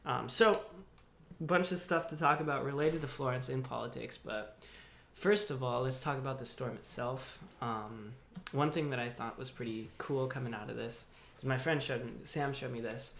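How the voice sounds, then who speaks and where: A young man co-hosting a podcast, talking through the news in a relaxed, laid-back way. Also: mid-range voice, moderate pace, creaky, a little hiss or hum. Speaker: podcast co-host. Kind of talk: casual news chat. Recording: echoey room.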